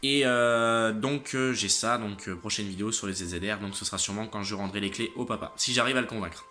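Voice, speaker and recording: A worn-out young man in his late teens gives his viewers a casual video update. His voice has a bright, forceful edge, though fatigue and a slight cold leave him congested and stumbling. His sentences stall and restart, and faint music plays behind him. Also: lowish voice. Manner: halting, trailing off and restarting sentences. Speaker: motorcycle channel host.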